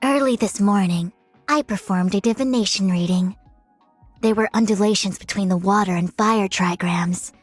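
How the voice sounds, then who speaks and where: A woman's voice: mid-range voice, no room sound, natural voice. Woman acting as proud young female diviner, dry close recording, music behind, composed, formal, lofty game character delivery. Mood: matter-of-fact. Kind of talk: scripted game character voice lines. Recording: studio-dry voice over music.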